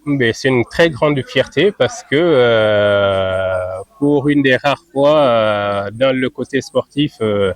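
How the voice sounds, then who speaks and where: Man, grew up in France, club president, interview answers, measured, many hesitations, mid pitch.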